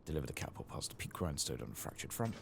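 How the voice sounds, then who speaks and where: A man hosting a soft-spoken, whispered ASMR game playthrough, murmuring his thoughts aloud in a relaxed, unscripted way. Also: rumbling low, rapid-fire, creaky, slight background noise. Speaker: gaming video host.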